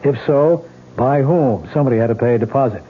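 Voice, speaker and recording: An actor playing a skeptical but decent police lieutenant, probing and authoritative, in a radio drama. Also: male voice, mid-range voice, expressive, fairly quick, slight background noise, lightly acted. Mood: commanding. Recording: narrow-band old radio recording, faint music.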